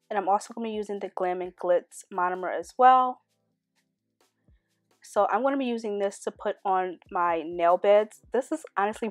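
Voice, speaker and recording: A young woman talks through a nail tutorial in a chatty, enthusiastic way, her voice a little nasal from a cold. Her pitch sits in an ordinary middle range, and the recording is dry and close with no room sound, with soft music playing underneath. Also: dry recording. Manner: chatty, enthusiastic, addresses viewers as you guys. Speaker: beauty vlogger. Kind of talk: casual nail tutorial voice-over.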